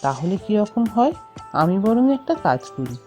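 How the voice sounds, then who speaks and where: A woman voicing an enterprising, hopeful young village man in a cartoon fairy tale, thinking aloud in a deliberate, expressive way. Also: mid-range voice, close to an everyday voice. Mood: pondering, hopeful. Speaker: cartoon character voice. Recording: music bed behind the voice.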